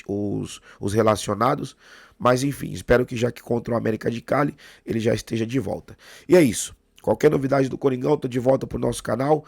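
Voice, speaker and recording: A Brazilian man hosting a football fan channel, hoarse with a cold and sore throat, giving his opinionated match analysis straight to the viewer. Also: mid-range voice, a little mellow, dry recording, studio quality. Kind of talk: casual match analysis to camera.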